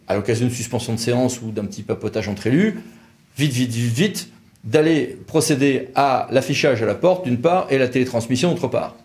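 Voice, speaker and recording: A man with a French accent from France speaks at an average male pitch. He delivers an educational explainer like a lawyer teaching an audience on video, conversational yet didactic, stressing his key words. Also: darkish tone. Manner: didactic, conversational, emphatic, acting out examples. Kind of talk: educational legal explainer to camera.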